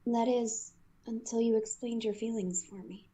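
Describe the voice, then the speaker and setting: A woman acts out a lovestruck young woman in a fan dub romance. The voice sits fairly high, sincere and tender, with a hesitant edge as she opens her heart. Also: steady tone, moderate pace, mellow, lightly acted. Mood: earnest, tender. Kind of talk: fan comic dub acting.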